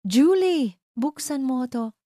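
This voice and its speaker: A woman's voice: high-pitched, expressive, slow, warm tone, dry recording, clean studio sound, voice pushed up. Woman playing an urgent, protective young man. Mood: shouting, urgent. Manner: urgent, commanding. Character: protective, alarmed male best friend, young adult.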